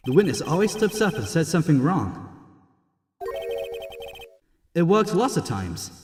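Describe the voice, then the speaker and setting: A man's voice: a little high, very animated, measured pace, a little mellow. A man playing a nervous but determined young defense lawyer with wry asides, in an amateur courtroom game dub recorded with heavy reverb. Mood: uneasy, musing. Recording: echoey, long reverb, music behind.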